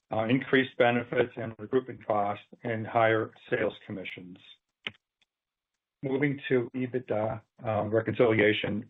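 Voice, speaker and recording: A man presenting company financial figures on an earnings call in a halting, stumbling way, as a finance executive. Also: medium pitch. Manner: halting, stumbling over phrases. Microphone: phone or conference line. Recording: tinny phone-line conference call.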